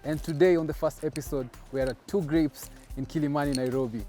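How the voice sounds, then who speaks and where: A young man with a Kenyan accent hosting his own show as a DJ, talking in energetic, slangy hype. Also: on the high side, fast talker. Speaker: DJ and show host. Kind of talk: hype vlog presenting. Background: music playing.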